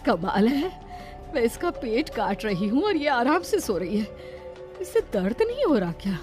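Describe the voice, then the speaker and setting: Woman playing an earnest, high-voiced brave heroine. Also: big pitch swings, moderate pace, over music. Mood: tense. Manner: earnest, dramatic pleading. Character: anxious but brave, self-sacrificing woman. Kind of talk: animated horror story dub.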